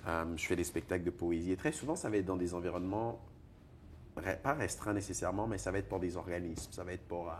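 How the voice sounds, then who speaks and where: A deep baritone man from Togo, guest in an interview, talking in an animated way about his music and laughing often. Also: quick pace, slight background noise. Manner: animated, laughs often, repeats words when searching. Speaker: singer-songwriter and poet guest. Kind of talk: conversational interview.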